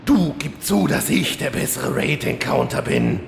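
A man playing a vain, irritable boss villain in a parody audio drama, boastful and indignant, with a gravelly voice. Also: animated, measured pace. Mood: indignant boasting. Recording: long hall reverb, rumbling noise.

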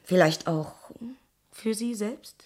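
An actress playing a condescendingly patient personnel interviewer in a radio play, speaking in a measured, pointed, official manner. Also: female voice, mid-range voice, a little mellow, close to an everyday voice. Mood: patient, firm. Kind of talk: naturalistic German radio play acting.

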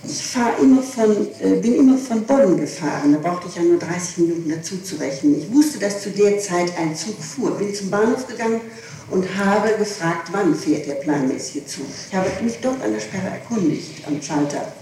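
A woman's voice: medium pitch, lively intonation, moderate pace, mellow. A woman testifying under questioning as a defendant, hesitant and evasive, often repeating her phrases. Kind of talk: courtroom testimony. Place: large echoing room, distant mic. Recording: echoey, noisy archival tape from far off.

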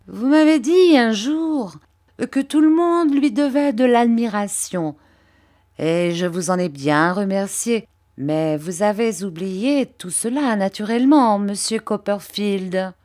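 A woman voicing a fawning, falsely humble young male clerk who speaks with wheedling, drawn-out emphasis. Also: medium pitch, expressive, a little mellow, pitched above the performer's own voice.

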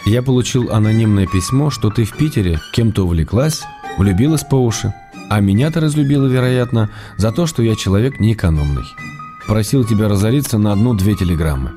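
A man's voice: fairly low pitch, animated, a little fast, over music, natural voice. A man acting in a radio drama as a wry, tender, weary writer in love, reading his letters with intimate understatement. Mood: wounded, wry.

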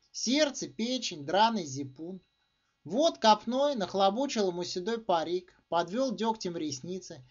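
Guy reading his literary story, expressive and swingy pitch, kinda dull muffled recording. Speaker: author reading own short story.

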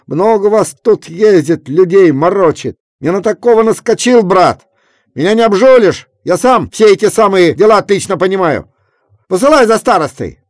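A touchy drunk peasant screeches in a high, squealing voice, yelling and boasting with no restraint, as a male storyteller puts him on. He comes across as wild and defensive, and the pitch sits well above an ordinary man's. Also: very animated, extremely slow, few pauses, dry recording, clean studio sound, heavily acted. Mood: indignant ranting. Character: drunk quarrelsome peasant man, shrill and touchy.

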